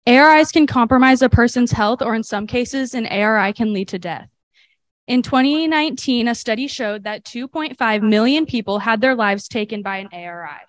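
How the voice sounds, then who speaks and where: A woman's voice: fairly high pitch, no echo. A teenage girl from California earnestly reading her section of a student health presentation. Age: teens.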